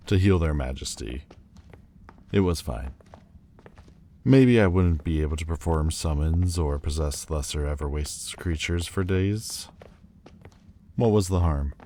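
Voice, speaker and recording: Man as demon lord, extremely low voice, guarded, vulnerable, formal halting speech, intimate roleplay, clear ambient noise behind. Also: warm tone. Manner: halting, stiffly formal, wry, self-correcting. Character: proud, wounded demon lord, male, guarded yet vulnerable. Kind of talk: intimate audio roleplay acting. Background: ambient sound effects.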